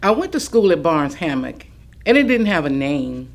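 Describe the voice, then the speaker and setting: A woman's voice: low-pitched, sing-song, normal speed, exaggerated voice. An actress playing a chatty, self-assured elderly Southern woman, cheerfully boasting about childhood in a staged reading. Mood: proud, playful. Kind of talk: staged reading of oral history testimony.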